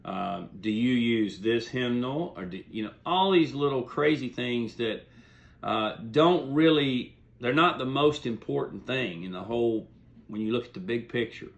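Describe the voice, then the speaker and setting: A man's voice: medium pitch, lively intonation, a little slow, gravelly, lo-fi sound. A man hosting a hobby video series, talking informally to viewers in a folksy, plain-spoken, rambling way. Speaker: host of a beagle hunting video series. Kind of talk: informal explanatory monologue to viewers.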